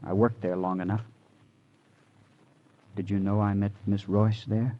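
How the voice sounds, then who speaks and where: An actor in an old radio crime drama playing a troubled, devoted young man with halting, repetitive speech. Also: lowish voice, little pitch movement, close to an everyday voice. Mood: brooding, devoted. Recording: muffled, hissy old radio recording.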